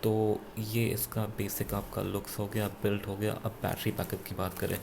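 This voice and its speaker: A man with an Indian accent giving an unscripted gadget review in a halting, self-correcting way, switching between two languages. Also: on the low side, level tone, gravelly, noisy background. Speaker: product reviewer. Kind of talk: unscripted gadget review, mixing Hindi with English.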